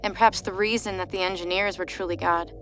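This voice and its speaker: A woman narrating a scripted story in a measured, dryly ironic manner. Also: on the low side, steady tone, fairly bright tone, faint music behind.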